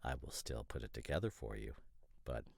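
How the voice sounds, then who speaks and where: A man playing an opinionated, nitpicking boyfriend in a casual roleplay audio drama, talking in pedantic, exasperated, halting asides. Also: extremely low pitch, lively intonation, creaky, heavily acted. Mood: grudging, nitpicky. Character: opinionated, nitpicking boyfriend, a professional costume designer.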